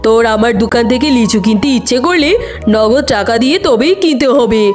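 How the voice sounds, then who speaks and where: A woman voicing a gruff male tiger shopkeeper in a cartoon, who talks in a curt, dismissive way. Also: on the high side, fairly quick, lightly acted. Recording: voice with background music.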